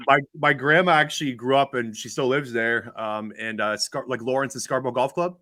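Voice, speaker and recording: A man's voice: mid-range voice, steady tone, a little fast, bright, forceful tone, dry recording. A Canadian man hosting a podcast, doing a casual conversational interview. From Ontario, Canada.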